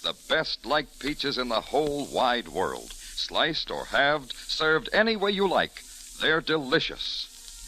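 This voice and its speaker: High-pitched, animated American radio announcer man. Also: slight background noise, low-quality recording. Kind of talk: 1940s-50s radio announcing and ad copy. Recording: old radio recording with music.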